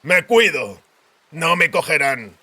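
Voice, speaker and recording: A man's voice: a little high, big pitch swings, slow pace, pushed and bright, pitched above the performer's own voice, full character voice. A man voicing a cynical, stubborn royal spymaster in an audiobook, with terse, blunt delivery. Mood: defiant. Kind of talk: audiobook character dialogue.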